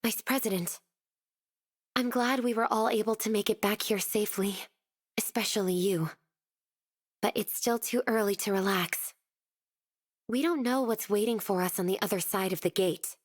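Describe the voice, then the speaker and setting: A woman's voice: fairly high pitch, close to an everyday voice. An actress playing an earnest, polite, cautious teenage schoolgirl in a video game.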